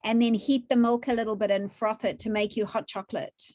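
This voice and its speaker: A woman's voice: a little high, fairly even intonation, fairly quick, a little mellow. A woman, hosting a virtual cooking class from her home kitchen, chatty and upbeat as she questions a child.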